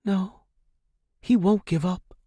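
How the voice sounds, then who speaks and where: A man voicing a determined, fearful woman on the run in a storybook drama, sharp and insistent. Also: sits high, fairly even intonation, takes it slowly, husky, dry recording, studio quality, voice pushed up, exaggerated voice. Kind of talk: storybook dialogue in dramatic fiction.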